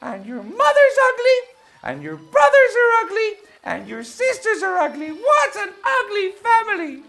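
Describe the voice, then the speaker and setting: A group of cruel young ducks shrieking insults in very high, shrill voices that swoop wildly, as a woman storyteller voices them. Faint music sits behind. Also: very animated, moderate pace, fairly bright tone, exaggerated voice. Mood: mocking. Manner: shrill mocking.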